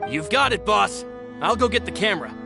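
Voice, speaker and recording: A man's voice: sits high, animated, fairly quick, vocal fry, over music. A man voicing an earnest, deferential young aide in an anime-style video game, speaking politely and formally. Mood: agreeable, dutiful.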